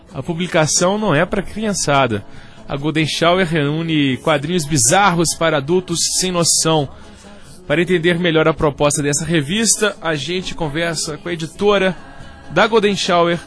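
Man, radio host, high voice, relaxed joking interview chat.

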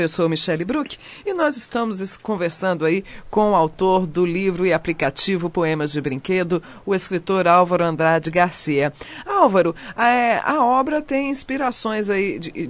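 A woman hosting a radio interview, asking long, halting questions as she searches for her words. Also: lowish voice. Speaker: radio host.

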